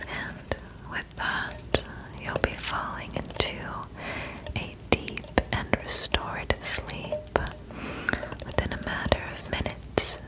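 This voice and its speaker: Young woman whispering very slowly. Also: rough recording. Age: young adult. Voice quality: breathy, unvoiced whisper. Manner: slow, gentle, soothing delivery as a friendly witch. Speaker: ASMR roleplay host. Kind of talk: ASMR whispered roleplay. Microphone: close mic.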